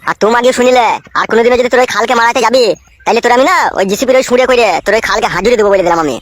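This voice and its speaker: Cartoon casting: aggrieved, foul-mouthed young husband played by a woman, very high pitch, rapid-fire rants. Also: dry recording, lightly acted. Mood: angry, threatening. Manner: ranting, scolding, coarse village speech. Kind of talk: comic cartoon dialogue.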